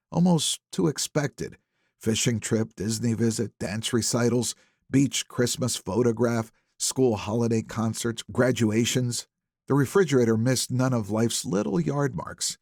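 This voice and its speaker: A man narrating a first-person thriller audiobook in a wry, conversational, expressive manner. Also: medium pitch, no room sound, clean studio sound.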